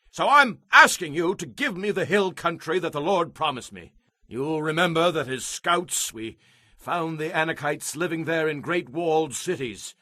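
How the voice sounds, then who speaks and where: A man's voice: high voice, bright, forceful tone, no echo. A man playing a vigorous, devout eighty-five-year-old warrior, earnest and proud, in a dramatized audio Bible with declamatory, emphatic delivery. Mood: proud, determined.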